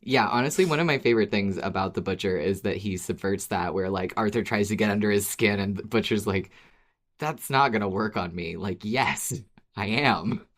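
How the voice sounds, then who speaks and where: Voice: low voice, normal speed. A podcast co-host chatting casually about a favourite show, playful and agreeable. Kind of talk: casual fan podcast chat.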